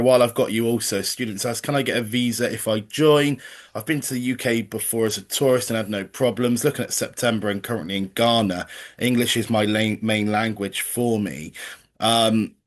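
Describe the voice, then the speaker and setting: A man hosting an online webinar over a video call, with a somewhat low voice. He talks in an easy, chatty way and reads out questions from viewers for the guests. His pace is ordinary and relaxed. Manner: chatty, relays audience questions. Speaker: webinar host. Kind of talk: moderating a webinar Q&A.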